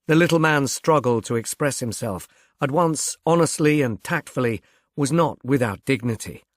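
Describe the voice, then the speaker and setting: Male audiobook narrator, mid-pitched, for scripted fiction; dry recording. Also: expressive, moderate pace, no room sound. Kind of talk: scripted novel narration with character voices.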